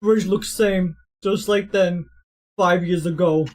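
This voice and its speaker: A streamer voicing a simple, earnest male death row convict in halting, broken English for a video game read-aloud. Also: mid-range voice, steady tone, natural voice. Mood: wistful.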